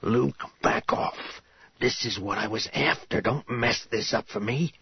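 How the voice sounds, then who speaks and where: An ingratiating male schemer in an audiobook, acted by a man reader. He is an eager but uneasy suitor, hesitant and husky, with animated pitch on a slightly dull recording. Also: slow pace, dry recording, full character voice. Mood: urgent, hushed. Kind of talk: audiobook character voice.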